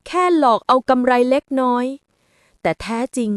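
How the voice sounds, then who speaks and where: A woman's voice: high-pitched, normal speed, clean tone, dry recording, studio quality, lightly acted. A woman voicing a crafty, self-pitying male merchant in a storybook tale. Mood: ashamed, despairing. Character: crafty male travelling merchant, evasive, then ashamed.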